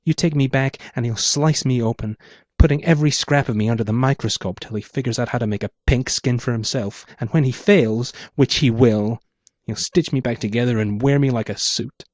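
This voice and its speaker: A man's voice: mid-range voice, fairly quick, dry recording, voice pushed up, natural voice. A man voicing a weary, enigmatic and bitter woman in an audio story, intoning and disdainful. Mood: indignant, scornful. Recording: dull muffled lo-fi audio.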